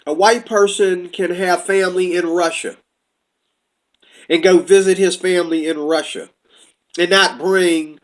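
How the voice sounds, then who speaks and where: Casting note: man from the United States, high-pitched, loose rambling commentator with a churchgoer's flow. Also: fairly even intonation, measured pace, pauses often. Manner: rambling, talks from notes, puts on quoted voices. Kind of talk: casual monologue commentary.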